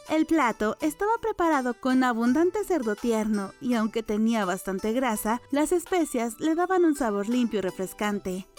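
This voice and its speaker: A woman's voice: on the high side, a little mellow. A woman reading scripted novel narration as an audiobook storyteller. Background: music.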